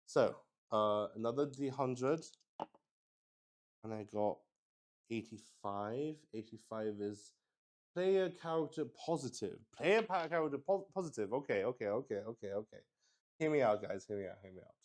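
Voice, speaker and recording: A man improvising game narration for a solo tabletop roleplay stream, musing out loud in a halting way. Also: mid-range voice, expressive. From Luxembourg.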